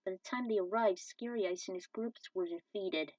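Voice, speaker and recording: A woman's voice: mid-range voice, normal speed, darkish tone, no room sound. A woman narrating a scripted story as a fan fiction reader. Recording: dull, distant microphone.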